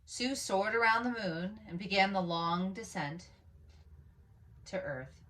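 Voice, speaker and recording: A woman reading a picture book aloud as a storyteller, with animated, dramatic emphasis on key words. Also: mid-range voice, takes it slowly, poor audio. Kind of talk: expressive children's story read-aloud.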